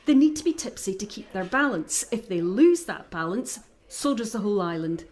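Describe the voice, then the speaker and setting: A woman acting in an audio drama as a troubled, sensitive island woman, earnest and expressive, with a Scottish accent. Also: medium pitch, moderate pace, lightly acted. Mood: urgent, incredulous.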